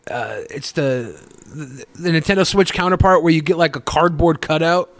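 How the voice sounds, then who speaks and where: A man's voice: on the high side, normal speed, gravelly. A man bantering casually on a podcast panel, crude and joking, swearing freely.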